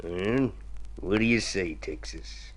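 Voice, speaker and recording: A scheming, smooth-talking consul from vintage radio drama. He is theatrical and self-satisfied, coaxing his partner along like a man selling a crooked deal. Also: low-pitched, slow, loud music behind the voice. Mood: quietly menacing. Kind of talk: golden age radio drama acting.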